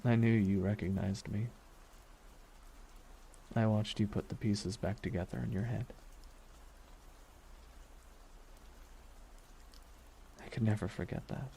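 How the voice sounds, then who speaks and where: A dark, wistful villain voiced by a man speaks in a soft, mellow, lowish tone, as if close by in an intimate ASMR roleplay. He is deliberate and brooding, leaving long pauses, with quiet menace under the words. Rain patters behind him. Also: vocal fry. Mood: musing, quietly amused. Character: villain reflecting beside his dying superhero nemesis, wistful, menacing. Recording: noticeable rain noise throughout. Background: rain falling on a surface.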